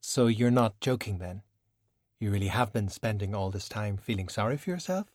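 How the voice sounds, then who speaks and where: A man's voice: lowish voice, lively intonation, moderate pace, darkish tone, no room sound, studio quality. A man voicing a breezy young woman in an audiobook, informal, direct and casually friendly. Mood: curious. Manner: informal, blunt. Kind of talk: audiobook character dialogue.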